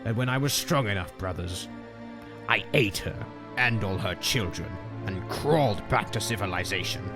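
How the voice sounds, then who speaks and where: A man playing a scheming, contemptuous old long-lost brother in a comic dub, with a dry, measured, menacing delivery. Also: on the low side, lively intonation. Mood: cold menace. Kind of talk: theatrical comic dub acting. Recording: music behind the voice.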